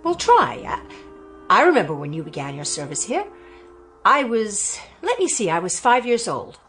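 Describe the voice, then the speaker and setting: A young woman in a radio drama who presses with restless, uneasy questions. Her voice moves lively up and down at a middle pitch, with faint music behind. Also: normal speed. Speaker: daughter of the house.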